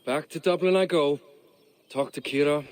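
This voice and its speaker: A man's voice: on the high side, normal speed, darkish tone, close to an everyday voice. An actor playing a rueful, wry Viking lord and father in a game cutscene, joking dryly about himself. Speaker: Viking king of Dublin. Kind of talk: video game cutscene acting.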